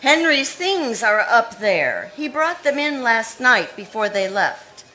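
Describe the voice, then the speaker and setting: A woman's voice: fairly high pitch, sing-song, bright, forceful tone, full character voice. A woman playing a scheming, stammering spinster. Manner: halting, stammering show of distress. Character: scheming, nervous-seeming spinster sister. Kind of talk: live recreation of 1940s radio mystery acting.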